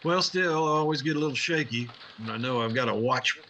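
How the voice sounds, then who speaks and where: A man's voice: medium pitch, fairly quick, sharp tone, muffled recording, slight background noise, lightly acted. A man playing a jaded, sardonic reporter, a little hesitant and uneasy, in a 1950s-style radio drama. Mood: wry, uneasy. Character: wry, jaded newspaperman, uneasy right-hand man.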